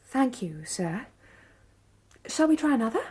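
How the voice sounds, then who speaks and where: An actress playing a polite, reserved young British governess with a proper manner. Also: female voice, a little high, very animated, unhurried, darkish tone, slightly rough, heavily acted. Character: young Victorian woman, music governess, proper. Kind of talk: radio drama acting.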